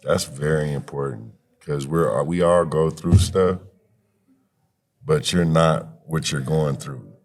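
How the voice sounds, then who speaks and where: Guy with a super low deep voice, chatting like a mentor on a podcast, encouraging, lots of you knows. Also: slow pace. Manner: encouraging, uses "you know" often. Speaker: podcast guest, youth activist and coach. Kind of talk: conversational interview with motivational encouragement.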